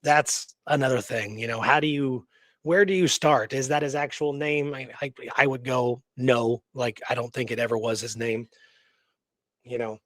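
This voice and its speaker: A man co-hosting a radio show, talking casually and excitedly in an informal, rambling way. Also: mid-range voice, normal speed, no room sound, clean studio sound. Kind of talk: casual enthusiastic discussion.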